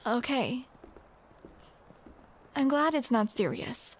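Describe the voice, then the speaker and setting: Young woman, romantic audio roleplay: teasing and playful, high voice, unhurried. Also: animated, a little hiss or hum. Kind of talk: scripted romantic roleplay spoken to the listener.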